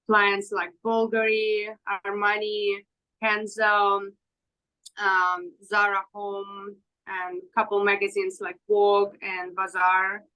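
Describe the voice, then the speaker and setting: A woman in her thirties from Ukraine, a fashion designer answering interview questions in halting with many fillers. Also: mid-range voice, pushed and bright, low-quality recording. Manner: halting non-native English with fillers.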